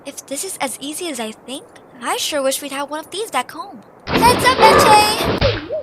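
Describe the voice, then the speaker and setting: A woman's voice: sits high, expressive, a little slow, very noisy. A woman playing a plucky, slightly sarcastic young adventurer in a fan audio drama. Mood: excited. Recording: music clearly behind.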